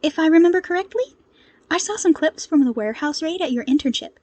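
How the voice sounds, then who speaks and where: A woman voicing a cheerful, modest young hero strategist in an audio story, with an earnest, gently exasperated manner. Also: high-pitched, lively intonation, quick pace, no room sound, voice pushed up. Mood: cheerful, welcoming.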